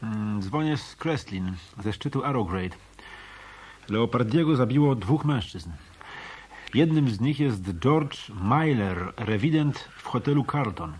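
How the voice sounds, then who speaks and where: A weary, scornful hotel detective in a radio drama. His medium-pitched voice is husky and his delivery is blunt and sardonic. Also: expressive, measured pace, a little mellow. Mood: matter-of-fact. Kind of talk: radio play acting.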